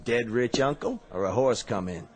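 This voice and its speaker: An actor playing a wisecracking bartender in a radio drama, dry and sardonic. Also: male voice, medium pitch, expressive, moderate pace, close to an everyday voice. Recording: radio play with music underneath.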